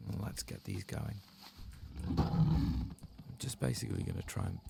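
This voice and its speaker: A low, heavily creaky male voice, hushed and often whispering, commentates a game in an ASMR style. The pitch moves around freely, over loud background noise. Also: wildly swooping pitch, a little slow. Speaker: gaming video host.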